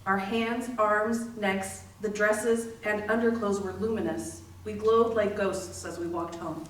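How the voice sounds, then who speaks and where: A woman's voice: mid-range voice, fairly even intonation, measured pace, lightly acted. A woman playing a young dial painter, an immigrants' daughter, recalling shared work in a readers theater monologue. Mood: reflective. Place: library readers theater performance, distant microphone. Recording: very echoey, distant mic, faint noise.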